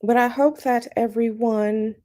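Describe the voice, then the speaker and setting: A woman with a slightly raspy morning voice, chatting casually with viewers on a live stream from home and greeting them by name. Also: fairly high pitch, fairly even intonation, measured pace, dark tone. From United States. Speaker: tarot channel host. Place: at home, bedroom.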